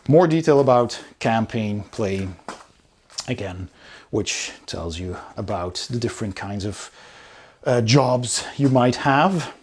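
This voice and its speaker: A man's voice: medium pitch, a little mellow, husky. A man giving a casual, enthusiastic review, talking through a book as he goes. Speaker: board game reviewer.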